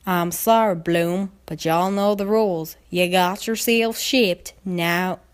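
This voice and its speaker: A woman's voice: fairly low pitch, steady tone, slow, clean tone. A woman voicing a grown country mare, a stern, resigned older sister in a cartoon fan story. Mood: stern, regretful.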